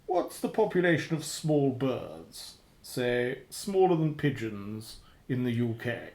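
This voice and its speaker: A man's voice: medium pitch, very animated, takes it slowly, a little mellow. An actor playing a sardonic, menacing spy boss in a radio play, pompous, wordy and precise in his sarcasm. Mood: sarcastic. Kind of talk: radio drama acting. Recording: roomy, echoing sound.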